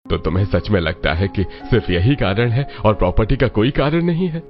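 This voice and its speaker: A man's voice: lowish voice, very animated, brisk, dull audio, faint music behind. A man voicing a wise, reproachful older father in a cartoon family drama, admonishing in tone. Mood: challenging. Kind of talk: Hindi cartoon moral-story voice acting.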